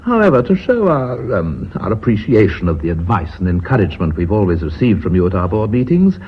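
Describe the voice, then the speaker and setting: An actor playing a formal, courteous board chairman in a British radio drama, measured and slightly hesitant, with an English accent. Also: lowish voice, very animated. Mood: smooth condescension. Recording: muffled, faintly noisy old radio broadcast.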